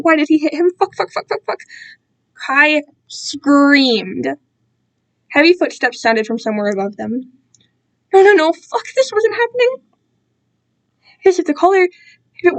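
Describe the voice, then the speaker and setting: A woman giving an amateur dramatic reading of a story, narrating in an expressive, emotive and informal manner. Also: high-pitched.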